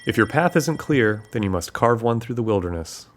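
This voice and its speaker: An actor playing a cryptic artificial intelligence delivering a measured message in an audio drama. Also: male voice, on the low side, lively intonation, slight background noise, close to an everyday voice. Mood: cryptic, solemn.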